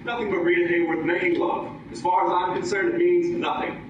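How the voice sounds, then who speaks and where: A man's voice: sits high, fairly even intonation, brisk, recorded from a distance, dull audio, noisy background, lightly acted. A man playing an eager, girl-crazy young sidekick in an old-time radio comedy, boastful and punning. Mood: eager, joking. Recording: echoey live stage, music behind.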